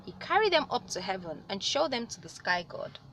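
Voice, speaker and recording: A woman reading a story aloud as host, with animated delivery and dramatic, drawn-out emphasis on words. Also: mid-range voice, slight background noise, rough recording. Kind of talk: expressive read-aloud storytelling.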